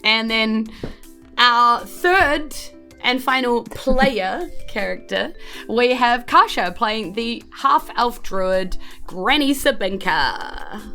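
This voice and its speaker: A woman's voice: on the high side, animated, slow, pushed and bright, faint music behind. A woman with a New Zealand accent, chatting in a bubbly, welcoming way as podcast host and dungeon master. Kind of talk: chatty podcast hosting of a tabletop game.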